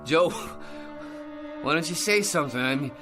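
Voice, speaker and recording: Giggly, rambling tipsy barfly. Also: male voice, fairly high pitch, big pitch swings, measured pace, music in the background. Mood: bewildered. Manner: rambling, stammering, repeating himself. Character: tipsy, rambling, over-eager male barfly bore. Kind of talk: radio drama acting.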